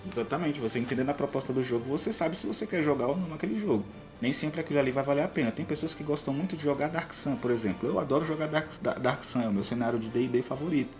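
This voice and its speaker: Fast-talking Brazilian man. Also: mid-range voice, darkish tone, faint music behind. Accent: Brazilian Portuguese. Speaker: podcast co-host, game master giving tips. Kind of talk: conversational advice on tabletop role-playing games.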